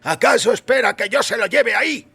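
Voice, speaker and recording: A man's voice: extremely high pitch, brisk, vocal fry, pushed and bright, breathy edge, no echo, voice pushed up, full character voice. A man voicing a contemptuous, impatient male shopkeeper with an irritated, scornful manner in an audio story. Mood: scornful irritation.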